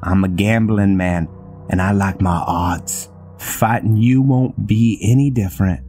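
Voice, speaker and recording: A man's voice: on the low side, unhurried, dark tone, soft background music, natural voice. A man playing a cocky, folksy young vampire assassin in a fantasy game, with a drawling, taunting manner. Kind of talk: fantasy game character dialogue.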